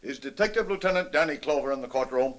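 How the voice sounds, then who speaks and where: An actor playing a solemn judge in a 1940s radio drama, speaking formally and deliberately from the bench. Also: male voice, mid-range voice, expressive, fairly bright tone, close to an everyday voice. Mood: stern, official.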